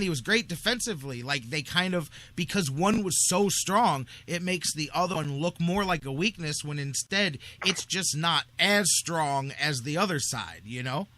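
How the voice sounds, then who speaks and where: Male sports talk host with a slightly high, bright forceful voice, casual and emphatic.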